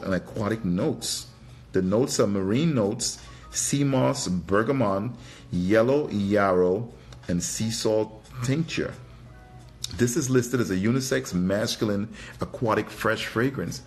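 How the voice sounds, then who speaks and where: A man from Trinidad giving a casual live product review, thinking aloud in a hesitant, musing way and often repeating his phrases. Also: fairly low pitch. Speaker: fragrance reviewer and livestream host.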